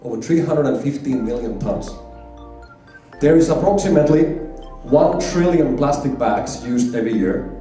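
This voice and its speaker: A man's voice: medium pitch, lively intonation, moderate pace, dark tone, faint music behind. Finnish man presenting in an echoey hall. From Finland. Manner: emphatic, repeats key figures for effect. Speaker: conference presenter. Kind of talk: business conference presentation. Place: large conference hall. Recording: echoey hall, heard from far off.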